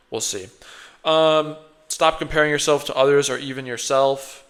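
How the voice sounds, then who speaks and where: A man talks close into his microphone while fighting off a slight cold, sniffling between thoughts. His voice sits at an ordinary middle pitch. He rambles and corrects himself as he reflects, and he is quite hard on himself. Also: fairly bright tone. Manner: rambling, thinking aloud, self-critical. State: a little sick, sniffling. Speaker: day trader reflecting on his own trading. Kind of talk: casual self-reflective monologue.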